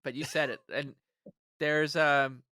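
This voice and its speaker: A man's voice: on the high side, fairly even intonation, slow pace, bright, forceful tone, no room sound. A man co-hosting a sports podcast, reading articles aloud and chatting casually with a wry, mock-literary delivery. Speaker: podcast co-host.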